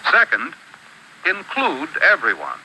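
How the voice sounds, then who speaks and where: Bright, lively male educational narrator. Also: fairly high pitch, slight background noise, low-quality recording. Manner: measured, instructive, lists rules one by one. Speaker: narrator of an instructional film. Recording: old archival film soundtrack.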